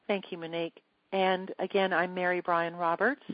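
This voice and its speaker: A woman coach hosting a teleseminar, speaking in a measured, earnest, scripted way. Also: on the low side, flat delivery, dry recording, lo-fi sound. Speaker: leadership coach and seminar host. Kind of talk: teleseminar presentation.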